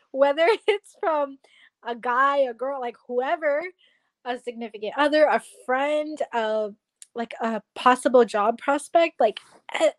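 A woman in her twenties, a guest in a casual chat, talking animatedly and acting out her own thoughts as quotes. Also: on the high side. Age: 20s.

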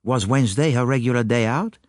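A man voicing a courteous, flattering foreign detective in an audiobook, speaking formally, precisely and coaxingly. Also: medium pitch, brisk, no echo, studio quality, pitched above the performer's own voice, close to an everyday voice. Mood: kindly, inquiring. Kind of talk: audiobook character voice.

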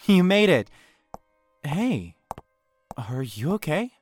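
A man's voice: on the high side, sing-song, slow, faint music behind. An actor playing an earnest, lovestruck young man in an audio drama, a little hesitant and awkward. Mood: concerned. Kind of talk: naturalistic audio drama acting.

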